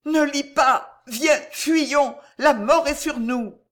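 A man's voice: sits high, little pitch movement, normal speed, fairly bright tone. A man voicing a desperate young woman who pleads breathlessly and passionately for her lover in a dramatic audiobook. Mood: terrified pleading. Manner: breathless, imploring, fervent.

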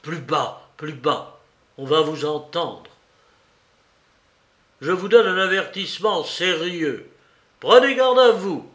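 A man's voice: fairly high pitch, steady tone, slow pace, bright, forceful tone. Man playing a mocking, falsely jovial magistrate, roomy sound. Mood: stern warning. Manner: goading, chuckling, theatrically familiar. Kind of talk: dramatized audiobook reading. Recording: roomy, echoing voice recording.

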